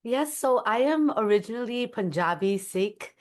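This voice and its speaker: A woman's voice: on the high side, lively intonation, normal speed. A woman from Thailand, an interview guest and musician, speaking earnestly and reflectively about her life. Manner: earnest, reflective, with soft laughs. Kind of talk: conversational interview.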